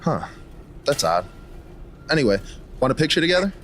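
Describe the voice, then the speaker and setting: A man's voice: on the high side, big pitch swings, moderate pace, fairly bright tone, a little hiss or hum. A man playing a chatty, cocky rival wrestler in a video game cutscene, breezy and falsely friendly. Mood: breezy, smug. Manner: breezy, casual.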